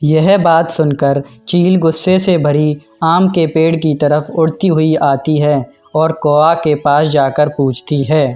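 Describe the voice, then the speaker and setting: धीमी रफ़्तार वाला पुरुष कहानीवाचक. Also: male voice, fairly high pitch, steady tone, takes it slowly, hardly any pauses, a little mellow, soft background music. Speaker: narrator. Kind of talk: scripted children's story narration.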